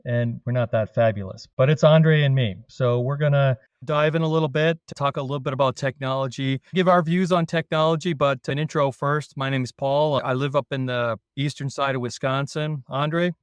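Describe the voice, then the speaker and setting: A man's voice: medium pitch, normal speed, few pauses, clear, resonant tone, dry recording. A man with a Canadian accent, a dairy consultant leading a casual podcast chat with self-deprecating jokes. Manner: self-deprecating, jokey, relaxed.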